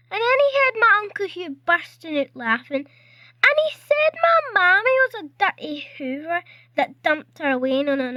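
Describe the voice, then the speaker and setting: Very high-voiced little Glaswegian girl. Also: sharp tone, no echo, full character voice. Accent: Glaswegian Scottish. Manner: childlike, plaintive questioning. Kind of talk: audio drama acting.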